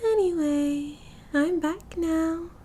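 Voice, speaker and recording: A woman's voice: very high voice, dark tone, a little hiss or hum. A British woman playing a cheerful, oblivious and possessive yandere girlfriend in an ASMR roleplay, with a breezy, giggly, dramatic manner. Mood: teasing, doting. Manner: giggly, breezy, dramatic, chatty with drawn-out casual delivery.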